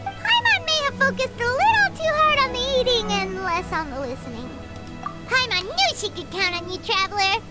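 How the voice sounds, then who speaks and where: An anime game mascot, tiny, excitable and cheeky. The voice is squeaky, childlike and extremely high, bouncing all over in pitch. Loud game music plays behind it. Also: female voice, moderate pace. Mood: chatty. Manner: refers to self in third person, gushing. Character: tiny excitable floating girl companion, greedy and cheeky. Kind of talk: anime video game voice acting.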